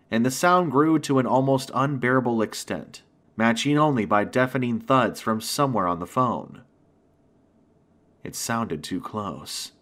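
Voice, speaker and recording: A man reading a scripted first-person horror story as its narrator, with dramatic, suspenseful pauses. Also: mid-range voice, fairly bright tone.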